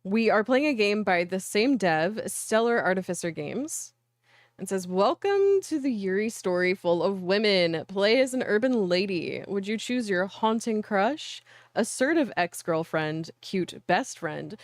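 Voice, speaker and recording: A woman's voice: mid-range voice, expressive, unhurried, dry recording, clean studio sound. A woman hosting a livestream of visual novels, chatting casually and playfully and sometimes reading aloud, in an informal way. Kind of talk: casual game stream chat with reading aloud.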